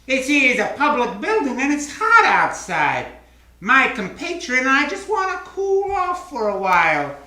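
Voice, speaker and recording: A woman playing a loud, brassy, slangy carnival worker in a comic radio play. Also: high voice, huge pitch swings, measured pace, heavily acted. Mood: defiant. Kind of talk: comic old-time radio drama acting. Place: live theater stage. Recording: roomy stage sound.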